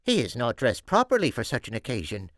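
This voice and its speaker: A man's voice: mid-range voice, huge pitch swings, a little fast, no echo, clean studio sound, pitched above the performer's own voice. A man voicing an anxious, deferential farmer's wife in an audiobook, proper and fussing. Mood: nervous, proper. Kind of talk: audiobook character voice.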